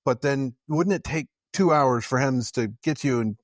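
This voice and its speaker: A man's voice: medium pitch, moderate pace, no room sound, studio quality. A man hosting a podcast, asking long questions and repeating words as he thinks aloud. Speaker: podcast host. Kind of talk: podcast interviewing.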